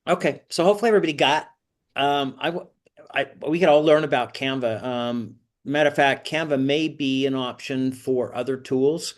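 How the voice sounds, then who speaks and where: An older man hosts an informal online group session in a mid-pitched voice. He rambles from point to point and often asks the listeners rhetorical questions. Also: moderate pace. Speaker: host of an online career coaching group meeting. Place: online video call.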